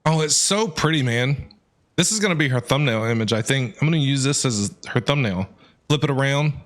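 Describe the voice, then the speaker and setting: A man streaming a video game, giving casual, enthusiastic and expressive commentary. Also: mid-range voice, brisk. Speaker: gaming streamer. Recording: music behind the voice.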